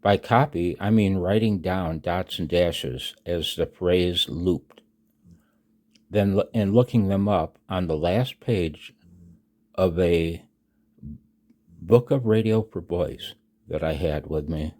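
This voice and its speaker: An American man in his sixties reading his own announcement aloud, emphatically and with dramatic pauses, as a radio hobby vlogger. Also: deep voice, darkish tone. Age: 60s.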